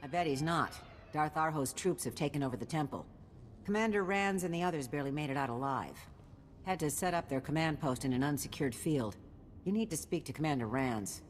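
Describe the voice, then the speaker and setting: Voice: medium pitch, expressive, a little fast, a little hiss or hum, close to an everyday voice. An actor playing a brash shuttle pilot who talks in a casual, cocky way in a video game cutscene.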